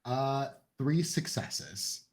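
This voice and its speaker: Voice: mid-range voice, sing-song, extremely slow, darkish tone. A player in a tabletop roleplay game, talking casually at the table.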